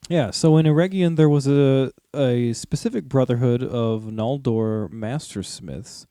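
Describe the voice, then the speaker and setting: A man's voice: medium pitch, a little slow, warm tone, dry recording, clean studio sound. A man co-hosting a podcast, explaining things in a casual, informal way. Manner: informal, joking asides. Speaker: podcast co-host.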